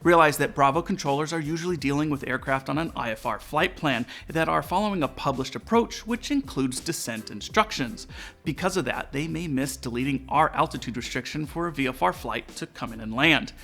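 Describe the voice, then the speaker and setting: Lively man presenting a tutorial. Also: fairly high pitch, a little fast, gravelly. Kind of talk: scripted explainer narration. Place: small indoor studio set. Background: background music.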